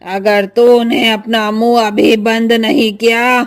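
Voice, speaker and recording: A woman acts out a murderous village witch from a cartoon horror tale. The words come very slowly in a high female voice, drawn out with threat, over faint music. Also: little pitch movement. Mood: threatening. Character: menacing female witch who lures and kills villagers.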